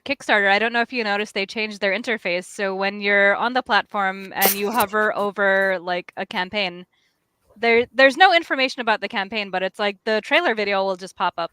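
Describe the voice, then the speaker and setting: A woman talking casually in a relaxed group conversation. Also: mid-range voice, moderate pace, clean tone, dry recording. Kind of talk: casual chat.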